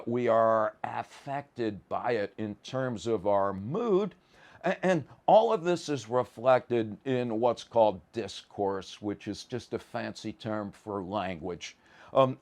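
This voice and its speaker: A man lecturing informally on a course, explaining ideas in colloquial language with plain everyday examples and often stammering slightly as he starts a phrase. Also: medium pitch. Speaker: lecturer in a psychology and philosophy course.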